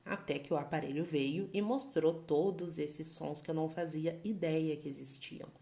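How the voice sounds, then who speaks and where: A Brazilian woman in her thirties, a sign-language educator, calmly sharing a personal testimony in a measured way. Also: low voice, creaky, reverberant. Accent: Brazilian Portuguese. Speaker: Libras educator.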